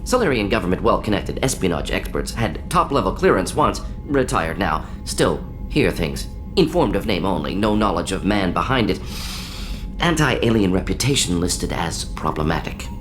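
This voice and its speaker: Casting: brisk older alien doctor, game role, telegraphic delivery, very animated pitch, music underneath. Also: male voice. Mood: curious, analytical. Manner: clipped telegraphic phrases, dropped articles. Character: brisk, clinical, aging male alien scientist doctor. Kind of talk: video game character dialogue. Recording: long hall reverb, game music behind. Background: game soundtrack music.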